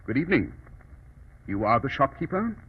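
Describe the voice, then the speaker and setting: An actor playing a cool, commanding English gentleman detective in an old radio drama, speaking in a clipped, composed way. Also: mid-range voice, very animated, moderate pace, slightly rough. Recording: dull, noisy old radio recording.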